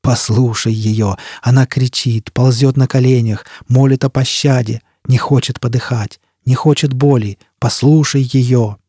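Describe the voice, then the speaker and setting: A nightmarish burning apparition played by a male narrator for an audiobook. The voice is rasping and dark, stretching each word slowly in tormented, imperious cries, recorded very dry. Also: medium pitch, steady tone, no room sound. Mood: manic, insistent. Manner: slow, drawn-out, anguished exclamations. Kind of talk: audiobook character acting.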